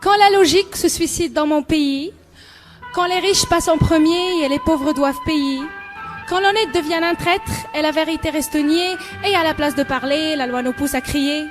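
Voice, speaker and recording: Young woman doing slam poetry, really high pitched, fiery rhythmic delivery, music playing behind her. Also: moderate pace. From Morocco. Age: young adult. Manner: impassioned, emphatic, rhythmic delivery. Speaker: student poet. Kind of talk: spoken-word poetry recital. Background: background music.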